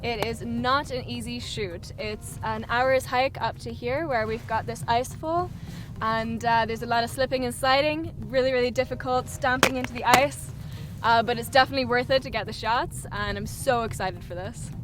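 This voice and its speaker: An Irish woman of around thirty speaks casually on location outdoors. Her voice is a little high, and music is clearly heard behind her as she explains what she is doing. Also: normal speed. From Ireland. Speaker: photographer on location. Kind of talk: casual on-location vlog chat. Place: outdoors on a glacier.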